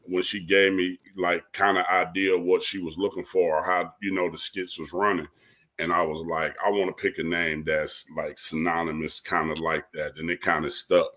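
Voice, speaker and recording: A man's voice: low-pitched, bright, forceful tone. A man with an American accent telling stories in a casual panel chat, acting out people's reactions as a guest. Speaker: actor, interview guest.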